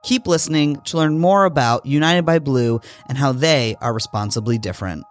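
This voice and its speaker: Podcast host man, mid-pitched, upbeat, scripted intro, faint music behind. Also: normal speed. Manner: upbeat and enthusiastic. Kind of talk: scripted intro, then interview questions.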